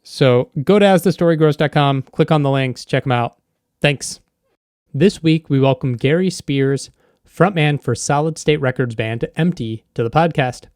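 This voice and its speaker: A man's voice: a little high, normal speed, a little mellow, clear, resonant tone, dry recording. Conversational male podcast host, dry close sound. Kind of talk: casual conversational podcast hosting.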